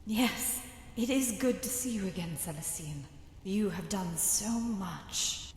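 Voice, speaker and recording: A woman voicing the knowing spirit of a dead sister in a game mod, measured and portentous, with hushed phrase endings. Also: medium pitch, slight background noise, lightly acted. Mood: tender, forgiving. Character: long-dead sister's spirit, solemn, knowing, finally forgiving. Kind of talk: dramatic video game mod voice acting.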